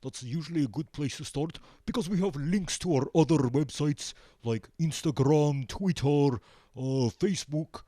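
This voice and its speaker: Male band guest for comedy interview: slightly high, mellow voice, halting deadpan delivery. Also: dry recording, studio quality. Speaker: band member, show guest. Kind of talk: comic in-character interview.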